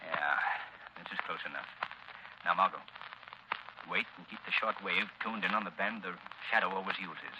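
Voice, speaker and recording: An actor playing a shrewd, commanding vigilante in an old radio drama, speaking with crisp authority. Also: male voice, low voice, normal speed, pauses often, close to an everyday voice. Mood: firm. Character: shrewd, commanding crime-fighting vigilante, adult man. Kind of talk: 1930s-40s radio drama acting. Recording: muffled, noisy old radio recording.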